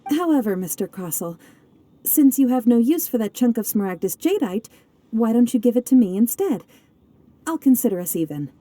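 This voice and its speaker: A woman voicing a gentle, polite young businesswoman in a video game, with a courteous, soft-spoken manner. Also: fairly high pitch, big pitch swings, moderate pace, dry recording. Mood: polite, composed.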